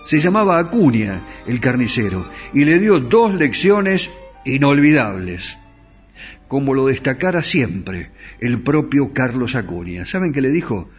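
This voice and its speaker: A man's voice: medium pitch, very animated, unhurried, husky, faint music behind. A middle-aged man with an Argentine accent hosting a radio show in a studio, telling tango history in a chatty way and asking his listeners questions. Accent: Argentine Spanish. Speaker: radio host. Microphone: studio microphone.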